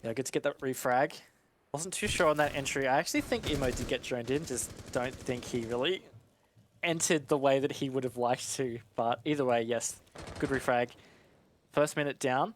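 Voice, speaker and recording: A man with a medium-pitched voice analyses a competitive game as it is played. He explains things conversationally, as the analyst on a casting team. Faint game noise sits behind his voice. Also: normal speed. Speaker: esports analyst caster. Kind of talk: live analytical commentary.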